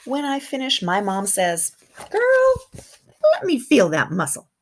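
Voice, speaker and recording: Female kindergarten teacher, storytime read-aloud; unhurried pace, slightly husky voice, simple asides for little listeners. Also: a little high. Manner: animated, simple wording, pauses to comment and question. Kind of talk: expressive picture-book read-aloud for young children, with asides.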